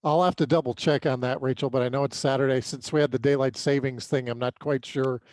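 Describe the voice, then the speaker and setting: American man recapping sports, halting delivery. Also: medium pitch, fairly even intonation, darkish tone, no echo. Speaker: sports podcast co-host. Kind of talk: unscripted sports recap commentary.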